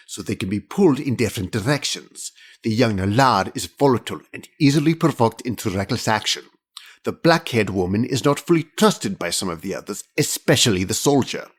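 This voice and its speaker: A man playing a haughty, calculating alien inspector in an audio drama, speaking in a formal, measured and pedantic manner. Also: on the low side, slightly rough. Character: precise, haughty male alien inspector, coolly calculating official.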